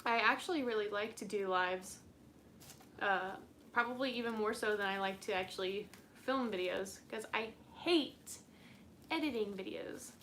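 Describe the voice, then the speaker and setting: A woman's voice: medium pitch, normal speed, a bit bright, a little hiss or hum. A young woman hosting a casual live stream, chatting in a bubbly, rambling way with frequent giggles and lots of "like". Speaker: plant channel host.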